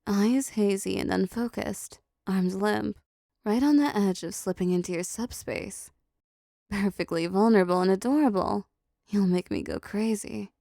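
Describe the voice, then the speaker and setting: Woman doing a possessive demon girlfriend for roleplay audio, mellow and super dry close sound, teasing, bossy, takes her time. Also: medium pitch, gravelly, no echo. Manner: slow, teasing, condescending endearments, controlling. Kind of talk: intimate roleplay audio drama, addressing the listener.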